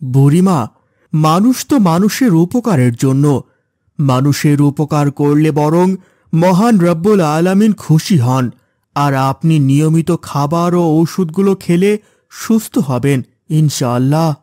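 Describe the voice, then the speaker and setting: A man playing a kind, pious father in a cartoon, calm and instructive in manner. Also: a little high, takes it slowly, dry recording, studio quality. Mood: gentle, pious. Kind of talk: cartoon voice acting.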